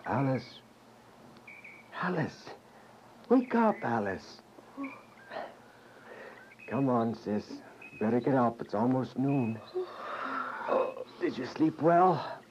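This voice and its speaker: Protective young brother played by a man, devout and earnest radio drama lead; animated pitch, dull noisy recording. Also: slow pace, pauses often. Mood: gentle, coaxing. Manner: coaxing, commanding, earnest. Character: protective, urgent, devout brother leading an escape. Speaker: actor. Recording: dull, lo-fi audio with clear noise.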